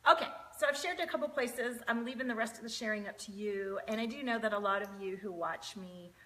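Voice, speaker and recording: A woman's voice: fairly high pitch, brisk, bright, forceful tone, rough recording. A woman, hosting a casual live stream, chatty and greeting viewers by name between many fillers. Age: 40s.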